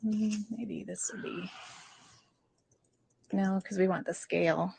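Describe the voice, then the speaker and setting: A woman prosecutor questioning a witness in a courtroom, soft-spoken and polite. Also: medium pitch, steady tone, slow, creaky, husky, low-quality recording.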